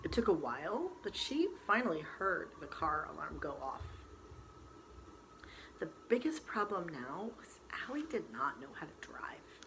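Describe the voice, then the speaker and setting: A woman storyteller reading a novel aloud in expressive, dramatic narration with deliberate emphasis. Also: fairly low pitch, rough recording. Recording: faint background noise.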